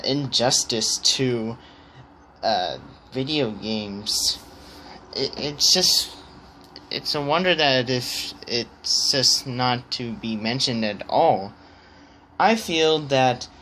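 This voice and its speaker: A man thinking aloud in a casual opinion vlog, hesitant and halting, often stammering on the starts of words. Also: medium pitch, pushed and bright, slight background noise. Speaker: vlogger.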